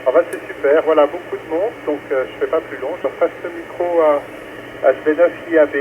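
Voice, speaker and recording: A man's voice: a little high, fairly even intonation, brisk. A man amateur radio operator chatting casually and passing the microphone with call signs. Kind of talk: casual ham radio check-in. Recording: tinny, clearly noisy amateur radio audio.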